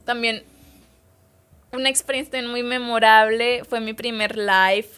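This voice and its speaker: A woman's voice: fairly high pitch, a little slow, clear, resonant tone. Chatty woman telling stories. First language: Spanish. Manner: lively storytelling, quotes others' words. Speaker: interview guest. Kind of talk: casual interview chat.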